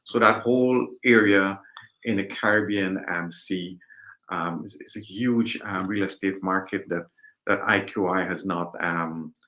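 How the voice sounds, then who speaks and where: Deep-voiced man from Guyana. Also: pushed and bright, low-quality recording. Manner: measured, hesitant with ums. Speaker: guest realtor. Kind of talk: interview answers.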